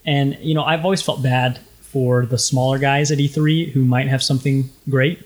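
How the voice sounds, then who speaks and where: A man's voice: medium pitch, fairly even intonation, normal speed, darkish tone. A young man with a light tenor voice, co-hosting a podcast in casual, rambling chat with many fillers. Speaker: podcast co-host.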